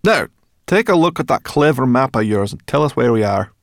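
A man's voice: medium pitch, big pitch swings, a little fast, no echo, studio quality, pitched above the performer's own voice. A man voicing a brash, bossy young female fairy creature who grumbles and exclaims, in a storybook reading. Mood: brisk.

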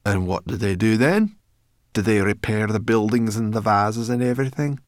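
A man's voice: fairly low pitch, moderate pace, dry recording, studio quality, voice pushed up, lightly acted. A man voicing a feisty, bossy young female brownie creature in a storybook, brash and impatient. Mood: curious.

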